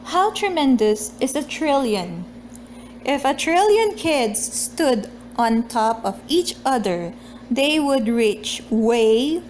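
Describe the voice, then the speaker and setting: A woman hosting a read-aloud of a children's picture book, reading in a deliberate, expressive way with casual chat. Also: high voice, slight background noise.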